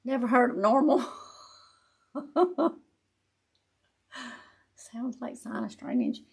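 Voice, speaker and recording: Raspy, chatty woman. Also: on the high side, sing-song, slow, a little mellow. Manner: chatty, laughs often, talks to viewers as y'all. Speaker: craft tutorial host. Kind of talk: casual live craft chat.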